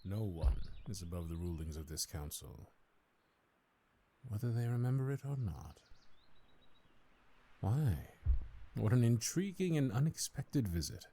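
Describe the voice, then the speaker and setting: Man acting as ancient lordly councilor, low mellow voice, swooping intonation, formal, pompous, slyly courteous, fantasy audio drama. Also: very animated, measured pace, long pauses, husky, heavily acted. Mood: grave, intrigued. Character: ancient, stately male high councilor, suavely condescending.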